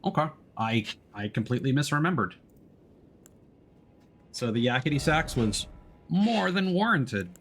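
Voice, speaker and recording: A man's voice: medium pitch, expressive, measured pace, pauses often, fairly bright tone, slight background noise. A man giving casual live gameplay commentary as a streamer, with wry, playful asides as he narrates what he is doing. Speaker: video game streamer. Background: music behind the voice.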